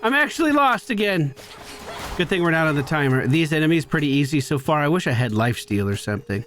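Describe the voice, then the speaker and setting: A man's voice: a little high, very animated, quick pace, fairly bright tone, slight background noise. A man giving casual running commentary as he plays a video game, talking to himself with exasperated exclamations and swearing. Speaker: gaming video creator.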